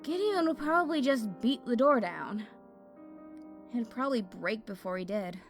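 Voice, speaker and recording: Woman playing a high-voiced young fire mage. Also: normal speed, soft background music, close to an everyday voice. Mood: dejected. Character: Chandra, impulsive, fiery young female fire mage. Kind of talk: fantasy audio drama acting.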